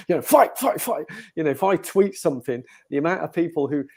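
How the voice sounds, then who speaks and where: Man, first language Italian, dark mellow tone, rambling chat, video call. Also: fairly high pitch, animated, fairly quick, slightly rough. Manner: rambling, many fillers and restarts. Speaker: host.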